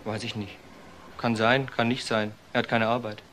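A man's voice: on the low side, level tone, normal speed, close to an everyday voice. An actor playing an indifferent, noncommittal man giving casual answers in a crime radio play. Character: offhand, indifferent male informant. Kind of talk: German crime radio drama acting. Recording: faint background noise.

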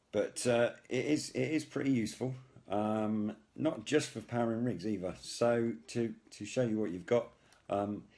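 A British man in middle age speaks in a very deep register. He hosts a casual product demonstration, feeling his way through the explanation with frequent hesitations. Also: darkish tone. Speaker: video host, product reviewer.

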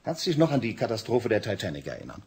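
An actor playing a driven, work-obsessed engineer husband in a German radio drama, expressive, placating and insistent. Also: male voice, fairly low pitch, very fast, husky, heavily acted. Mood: confident, insistent.

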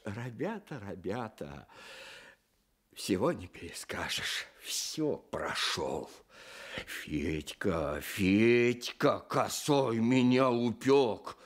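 A man's voice: on the low side, sing-song, drawn-out words, exaggerated voice. An actor playing a pious, sorrowful old village stove-builder in a radio play, telling his story earnestly with folksy sighs. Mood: sighing, lamenting. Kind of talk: Soviet radio play acting.

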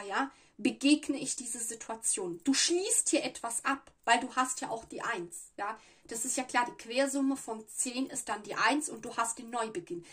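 A fairly high, breathy woman talks through a tarot spread to camera. She chats along loosely, pausing to search for words and tossing in little "ja" fillers. It sounds like a small room at a table. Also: fairly bright tone. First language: Italian. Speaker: tarot reader. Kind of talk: casual tarot reading to camera.

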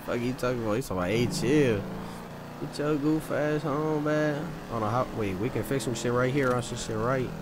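A man streaming an online role-play game, bantering casually in excitable, profane slang. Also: mid-range voice, fairly quick, very noisy. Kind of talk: casual banter while gaming.

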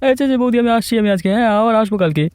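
Man voicing a rapid-fire, effusive village customer. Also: very high-pitched, lively intonation, darkish tone, smooth, dry recording. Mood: effusive, pleased. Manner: effusive, chatty. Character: friendly flattering village customer, adult man. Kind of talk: cartoon dubbing.

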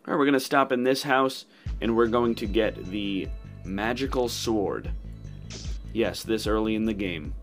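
A man's voice: fairly low pitch, unhurried. A man giving casual, matter-of-fact commentary as he plays through a video game. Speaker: gaming video host. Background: game music.

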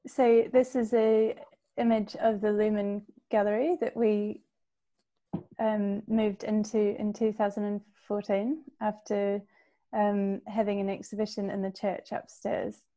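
A woman from New Zealand, an artist and curator, introducing herself in a measured way on a video call. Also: mid-range voice, level tone, mellow.

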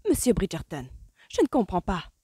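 A woman's voice: mid-range voice, very animated, normal speed, mellow, husky, no echo, pitched above the performer's own voice. A flustered, disdainful society mother speaks in a bewildered, tart way, as a woman reading the book puts her on. The sound is dry and close.

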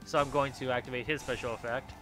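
A man's voice: medium pitch, steady tone, quick pace, music in the background. A man casually commentating a card game as he plays, thinking aloud, often stopping and correcting himself. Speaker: gaming channel host. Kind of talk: let's play commentary.

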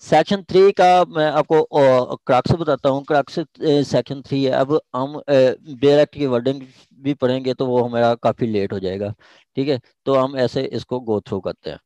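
A man's voice: medium pitch, steady tone, moderate pace, darkish tone, no echo, rough recording. Pakistani man lecturing, dry close sound. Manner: repeats key phrases, switches between Urdu and English. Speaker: law lecturer. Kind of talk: informal lecture explaining a legal text.

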